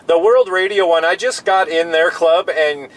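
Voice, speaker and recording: A man's voice: a little high, normal speed, poor audio. An American man in his fifties chatting casually as a CB radio operator, explaining things in an easygoing, rambling way. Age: 50s. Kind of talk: casual two-way radio chat. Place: in a pickup truck. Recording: in-vehicle recording with clear background noise.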